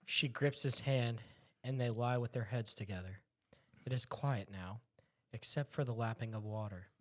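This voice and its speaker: A man's voice: mid-range voice, normal speed. A man in his twenties reading screenplay directions aloud as narrator, dramatic with an amused edge. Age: 20s.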